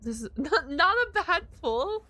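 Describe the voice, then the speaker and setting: A woman's voice: very high voice, big pitch swings, measured pace, noisy background. A woman streamer chatting casually as she reacts to a video, in an informal, amused, exclamatory way.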